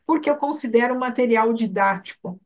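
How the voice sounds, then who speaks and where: A woman's voice: fairly high pitch, a little fast. A woman with a Brazilian Portuguese accent, talking informally as a course instructor in a live online webinar, chatting directly with attendees. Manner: chatty, addresses listeners by name.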